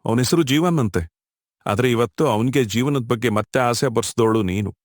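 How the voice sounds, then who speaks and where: A man's voice: mid-range voice, normal speed, no room sound, studio quality, lightly acted. A man playing a kindly, grieving older husband, an electrician, speaking in an earnest, fatherly way in a cartoon story. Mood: grieving, tender. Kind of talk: dramatic dialogue in a cartoon short story.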